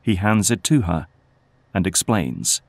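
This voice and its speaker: A male narrator with a very low voice delivers scripted dramatic narration. He sets scenes for an audio drama, and the sound is dry and close. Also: expressive, a little slow, no echo.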